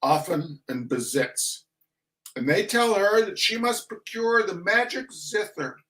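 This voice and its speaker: Slow, higher-pitched man reading comedy theatrically. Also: lively intonation, sharp tone, poor audio. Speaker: reader of a comic essay. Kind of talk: theatrical comic reading of a humorous text.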